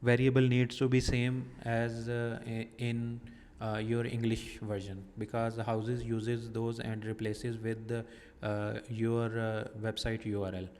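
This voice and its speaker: A man explaining a software setting step by step in a halting, methodical way, pausing often as he walks through a screen recording. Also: mid-range voice, completely flat delivery, long reverb tail, a little hiss or hum. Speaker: software tutorial presenter.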